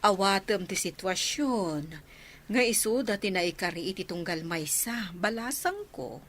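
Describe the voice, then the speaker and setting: A woman playing a gentle, consoling mother in a radio drama. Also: medium pitch, animated, measured pace, slight background noise, natural voice.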